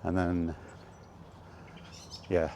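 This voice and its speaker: Male gaming vlogger, low dark voice, unhurried and meandering, some outdoor background noise. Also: level tone. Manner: hesitant, meandering, thinking aloud. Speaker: gaming content creator and podcaster. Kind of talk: casual rambling vlog chat.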